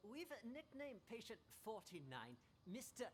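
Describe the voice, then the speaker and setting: A woman's voice: on the high side, big pitch swings, unhurried, husky, heavily acted. A woman playing a firm, authoritative hospital staffer, reproving and clipped in a radio drama style. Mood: stern. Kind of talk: interactive radio drama acting.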